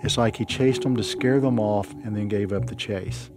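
A man telling a first-person story in measured, deliberate phrases. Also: lowish voice. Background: music.

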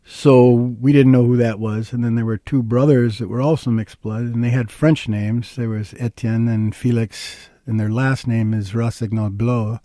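An older man from Minnesota speaks in a soft-edged, mellow voice. He explains things in a plain, anecdotal way, as a guest in conversation. The sound is dry, with very little room on it. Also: medium pitch, fairly even intonation, normal speed, hardly any pauses, dry recording. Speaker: interview guest, historical researcher. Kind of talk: conversational interview, storytelling.

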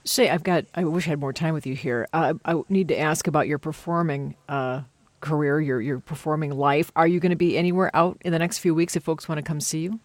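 A woman's voice: deep voice, steady tone, brisk, dry recording. An American woman hosting a public radio interview, asking questions and handling introductions and sign-offs.

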